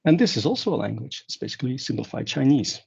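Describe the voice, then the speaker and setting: A man's voice: mid-range voice, very animated, quick pace, creaky, darkish tone, a little hiss or hum. A man from Belgium, giving a conference talk in a rambling way with many fillers and restarts. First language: Dutch. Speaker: conference speaker. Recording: dull lo-fi sound.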